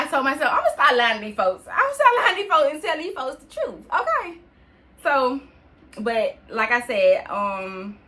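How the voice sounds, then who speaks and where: Podcast host, late-twenties woman, fairly high bright voice, chatty and direct with listeners. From New York. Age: 20s. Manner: chatty, addresses listeners as y'all and sis. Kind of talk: personal storytelling podcast.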